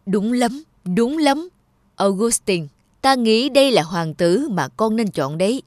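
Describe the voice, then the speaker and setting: Woman voicing an indulgent emperor, high voice. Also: animated, a little slow, darkish tone, no echo, studio quality. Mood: approving. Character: indulgent old emperor, father of the princess.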